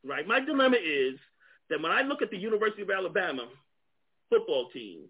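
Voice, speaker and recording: A man's voice: sits high, big pitch swings, quick pace, gravelly, breathy edge. A man with a New York accent, a guest in a panel discussion, who stumbles and repeats words as he searches for them and chuckles as he talks. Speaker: guest speaker. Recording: tinny phone-like online call audio.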